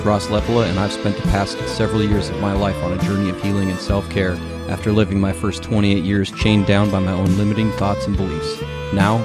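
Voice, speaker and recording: A man in his thirties hosting a podcast, chatting casually and rambling a little, repeating his phrases. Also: low voice. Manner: rambling, repeats himself, friendly. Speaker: podcast host. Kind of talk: casual interview chat.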